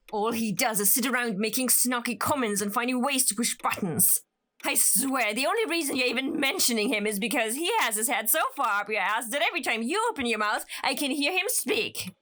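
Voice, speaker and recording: A woman's voice: fairly high pitch, bright, forceful tone. A woman playing a jaded, resentful young office worker in an audio horror drama. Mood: angry, sarcastic.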